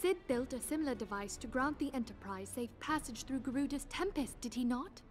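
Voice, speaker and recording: A woman's voice: high voice, fairly quick, faint music behind, natural voice. An actress playing an eager young noble lady in a fantasy game. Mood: excited, realising. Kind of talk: fantasy video game cutscene acting.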